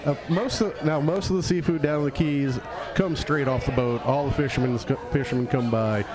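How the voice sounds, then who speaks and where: A man bantering casually as a podcast co-host, wry and quick to interject. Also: fairly high pitch, steady tone. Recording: loud crowd noise, outdoor urban setting. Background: loud crowd and outdoor bustle.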